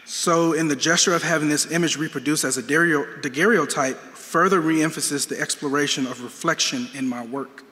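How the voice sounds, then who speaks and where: A man speaks with a bright, forceful tone, each word ringing out through the long echo of a large hall. His delivery is measured and reflective, a prepared talk about his art that moves through questions at an even pace. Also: on the high side. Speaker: artist giving a talk. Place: museum auditorium.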